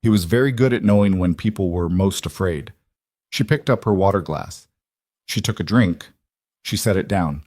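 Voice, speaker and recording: A man with a fairly low voice narrates a dramatic story. His delivery is measured and deliberate, falling in short clipped phrases. The sound is dry, with almost no room on it. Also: a little mellow, no room sound. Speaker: audiobook narrator. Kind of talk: scripted dramatic fiction narration.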